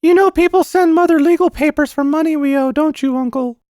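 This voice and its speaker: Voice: sits high, a little fast, a little mellow, no room sound, clean studio sound, pitched above the performer's own voice, close to an everyday voice. A narrator voicing an eager, nervous young boy, innocent and earnest, in a storybook reading. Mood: anxious, secretive. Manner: nervous, insistent.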